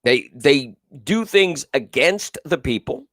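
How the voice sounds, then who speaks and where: A man's voice: fairly high pitch, sing-song, slow, fairly bright tone, no echo, studio quality. A male talk show host with a big, carrying voice, giving emphatic, repetitive political commentary and reading viewers' comments aloud. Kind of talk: live political call-in commentary.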